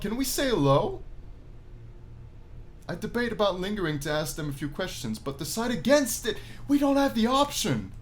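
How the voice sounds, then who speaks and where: A man's voice: on the high side, fairly bright tone. A man hosting a gaming video, reading a visual novel aloud and commenting casually in a playful, storytelling way.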